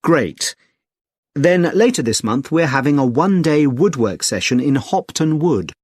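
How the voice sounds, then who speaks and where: Helpful British club secretary, dry sound. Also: male voice, on the high side, expressive, darkish tone, slightly rough, no echo. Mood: helpful, informative. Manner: polite, explanatory, measured. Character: friendly, helpful male secretary of a conservation group. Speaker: actor in a listening test dialogue. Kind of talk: scripted everyday conversation, clearly enunciated.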